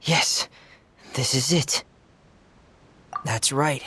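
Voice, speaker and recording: Game lead: thoughtful young man, slow and deliberate, very husky and breathy. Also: mid-range voice, full character voice. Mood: hushed pondering. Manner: calm, deliberate. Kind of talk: video game voice acting.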